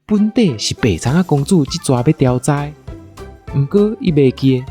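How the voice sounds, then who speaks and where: A woman's voice: low-pitched, lively intonation, moderate pace, mellow, soft background music. A woman with a Taiwanese accent hosting and narrating a playful scripted story. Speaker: podcast host and storyteller.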